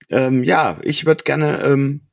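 A man's voice: medium pitch, creaky. A man co-hosting a podcast in casual, colloquial conversation with friends. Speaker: podcast co-host. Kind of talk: casual chat among friends.

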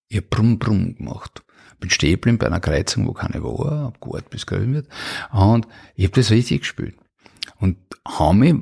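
A man's voice: lowish voice, creaky, a little mellow, husky. A middle-aged man with an Austrian accent, casually telling stories from his own life in an interview. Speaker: interview guest. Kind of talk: casual autobiographical storytelling.

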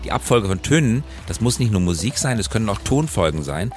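A man's voice: lowish voice, sing-song, brisk, darkish tone, soft background music. A man hosting a podcast in a conversational, reflective way, explaining points with emphatic phrasing. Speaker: podcast host.

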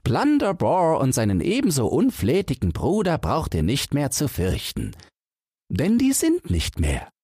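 A man's voice: medium pitch, huge pitch swings, unhurried, warm tone, breathy edge, no echo, studio quality, voice pushed up, full character voice. A man voicing a polite, plucky young giant-slayer in a fairy tale audiobook, confident and courteous.

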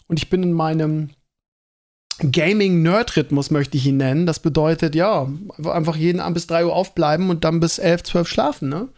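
Guy in his 30s rambling on his podcast, voice a bit high, super casual, keeps cutting himself off. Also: a little mellow. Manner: rambling, self-interrupting, colloquial. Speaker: podcast host; school teacher. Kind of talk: casual solo podcast monologue.

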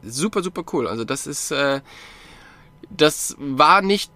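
A man's voice: on the high side, expressive, measured pace, pushed and bright, no room sound. A man chatting casually as a podcast co-host about cycling, rambling with many fillers and restarts. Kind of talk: casual chat.

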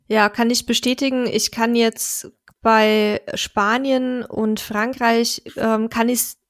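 A woman co-hosting a casual podcast, chatting and reading listener mail aloud, often pausing on "ähm". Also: fairly high pitch, level tone, no room sound, clean studio sound. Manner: chatty, fills pauses with "ähm". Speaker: podcast co-host.

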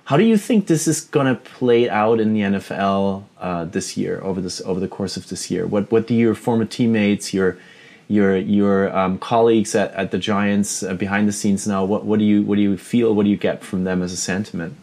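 A man's voice: lowish voice, moderate pace, a little mellow. A man hosting a podcast, asking long, winding questions. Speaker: podcast host. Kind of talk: podcast interview.

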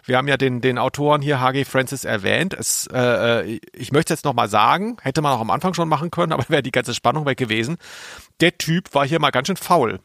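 A man's voice: medium pitch, fairly quick, gravelly, fairly bright tone, dry recording, clean studio sound. A man co-hosting a podcast in casual chat, rambling a little and correcting himself as he goes. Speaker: podcast co-host. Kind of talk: casual chat about audio dramas.

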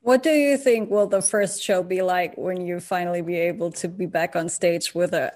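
A woman's voice: lowish voice, fairly even intonation, moderate pace, darkish tone. A woman hosting an interview, asking questions in a friendly manner and laughing easily. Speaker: radio interviewer.

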